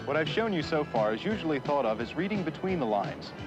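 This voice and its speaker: Very animated actor as male film presenter. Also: a little high, a little fast. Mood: explaining. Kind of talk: conversational scripted presenting. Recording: muffled 1970s film soundtrack with music.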